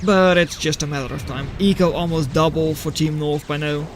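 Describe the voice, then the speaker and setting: A man's voice: a little high, fairly even intonation, moderate pace, over music. A man casting a strategy game replay live and chatting with viewers, matter-of-fact and dry in his remarks. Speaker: game caster, streamer.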